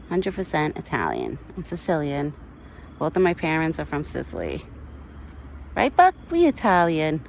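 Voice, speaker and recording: A middle-aged woman chatting casually outdoors to her viewers. She keeps breaking into high sing-song baby talk for an animal. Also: a little hiss or hum. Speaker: vlogger talking to a wild deer. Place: outdoors in a yard.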